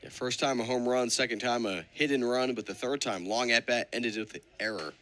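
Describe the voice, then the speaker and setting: Bright-toned male baseball color commentator. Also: mid-range voice, moderate pace. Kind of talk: live radio sports analysis.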